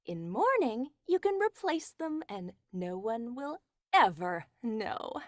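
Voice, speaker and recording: Actress as cold-blooded scheming young wife, 1940s radio horror: high, swooping, domineering and coaxing. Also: female voice, big pitch swings, takes it slowly, heavy background noise. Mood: brisk, scheming.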